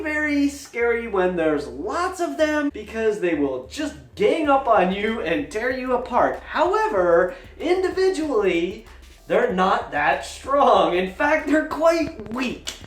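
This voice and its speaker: Animated, slow-paced man in an echoey room. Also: high voice. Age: 40s. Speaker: tabletop gaming vlogger. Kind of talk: casual personal storytelling to camera. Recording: roomy, echoing sound.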